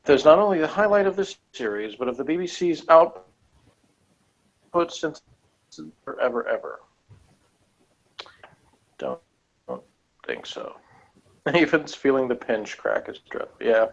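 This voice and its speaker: Low-voiced man in casual chat, dull recording. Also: animated, measured pace, long pauses. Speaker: co-host of a TV episode review. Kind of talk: casual chat while reading out and reacting to review notes. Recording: dull, lo-fi sound.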